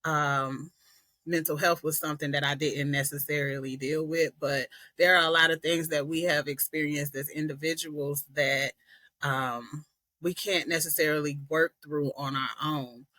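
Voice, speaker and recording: A woman from Atlanta, a podcast guest earnestly telling her personal story with frequent pauses and "um"s. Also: low-pitched, steady tone, bright, forceful tone, dry recording. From Atlanta, Georgia, US. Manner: earnest, reflective, many "um"s. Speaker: podcast guest, peer specialist.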